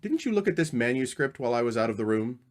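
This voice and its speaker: A man's voice: medium pitch, very animated, fast talker, lightly acted. A man acting an earnest, uneasy husband in an old-time radio mystery, questioning and hesitant. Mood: probing, uneasy.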